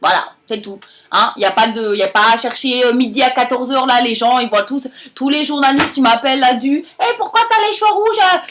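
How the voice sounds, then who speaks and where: A woman's voice: lively intonation, a little fast, noisy background. A woman playing a brash Alsatian beauty queen. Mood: irritated, brash. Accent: Alsatian French. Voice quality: thick regional accent. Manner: rambling, over-the-top, crude, swings between loud and low. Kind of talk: comic monologue sketch.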